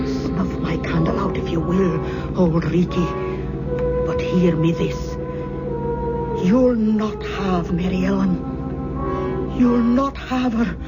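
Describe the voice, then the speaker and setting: A woman acting a kindly, worried old aunt in an old-time radio drama, with a hesitant, folksy way of talking. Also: lowish voice. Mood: grimly defiant. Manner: hesitant, folksy, quaint turns of phrase. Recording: dull lo-fi radio recording.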